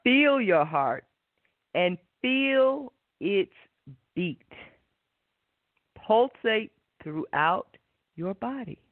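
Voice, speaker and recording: A woman hosting a spiritual talk and guided meditation, speaking in an unhurried, reflective way and often checking for agreement. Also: mid-range voice, big pitch swings, no room sound. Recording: tinny narrow phone-like line.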